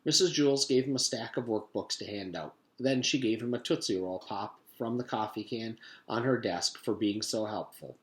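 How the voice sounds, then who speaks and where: A man's voice: on the low side, normal speed, fairly bright tone. A man reading a children's story aloud as a teacher would to pupils, in storybook narration.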